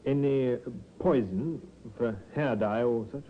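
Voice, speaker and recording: An actor as dutiful police sergeant, muffled radio audio. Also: male voice, mid-range voice, measured pace, natural voice. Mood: methodical. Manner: formal, deferential, matter-of-fact. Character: dutiful, methodical male police sergeant, polite and steady. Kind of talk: 1950s radio drama acting. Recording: dull, slightly noisy vintage radio recording.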